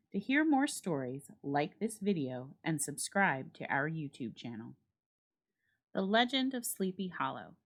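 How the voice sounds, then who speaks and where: A woman reads a literary story aloud as a dramatic narrator, with measured, expressive phrasing. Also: low voice. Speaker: library staff reader and host.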